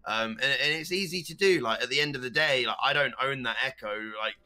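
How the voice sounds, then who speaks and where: Bright-voiced man who stutters. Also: medium pitch, fairly quick. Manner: animated, bursts into loud meme voices. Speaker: streamer guest. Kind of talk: casual podcast chat.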